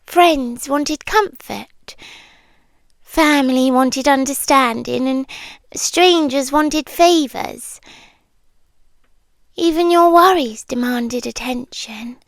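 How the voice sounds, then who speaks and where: Hushed, high-voiced British immortal yandere girlfriend played by a woman. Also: dry recording. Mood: tender, possessive. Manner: hushed, breathy, deliberate, often dropping into whispers. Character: ancient immortal tailed woman, possessive, obsessively devoted lover. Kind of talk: ASMR roleplay, intimate monologue. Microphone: close microphone, dry.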